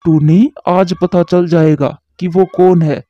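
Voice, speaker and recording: Determined cartoon pigeon, man voicing, high and slow, dry lo-fi audio. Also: fairly even intonation, dry recording. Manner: earnest, determined. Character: brave, protective male pigeon.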